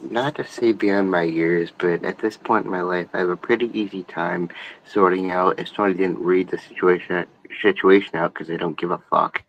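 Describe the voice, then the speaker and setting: A man's voice: low-pitched, highs cut off. A young man talking earnestly on a late-night stream, rambling and swearing a lot.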